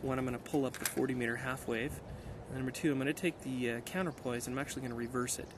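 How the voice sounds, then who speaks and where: Unhurried man demonstrating outdoors, noisy recording. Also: medium pitch, steady tone. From United States. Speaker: amateur radio operator and video maker. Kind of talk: casual hands-on demonstration. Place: outdoors on a mountainside. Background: outdoor ambient noise.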